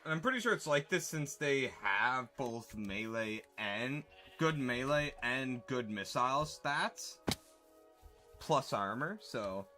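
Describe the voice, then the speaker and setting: A man's voice: medium pitch, expressive, takes it slowly, sharp tone, faint music behind. A man chatting casually with his chat while livestreaming a game, answering questions and correcting himself as he goes.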